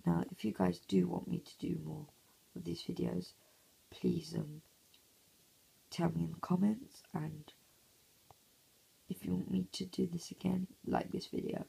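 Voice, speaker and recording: A hoarse young man talks slowly in a hushed half-whisper, his voice heavily gravelly and raspy. He sounds dark and low-key, recorded on a phone at home while others sleep. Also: little pitch movement, rough recording. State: hoarse, croaky voice. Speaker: gameplay commentator. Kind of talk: casual mobile gameplay commentary. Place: home, early morning.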